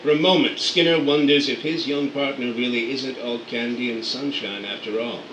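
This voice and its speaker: A man narrating radio play scene directions in a steady, matter-of-fact way. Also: mid-range voice, moderate pace. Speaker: narrator reading stage directions. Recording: roomy, distant mic, air conditioning hum.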